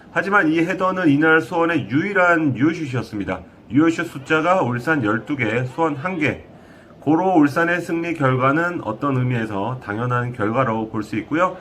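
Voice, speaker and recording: A man reporting a football match review, speaking in a measured way with frequent fillers. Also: mid-range voice, a bit bright, slight background noise.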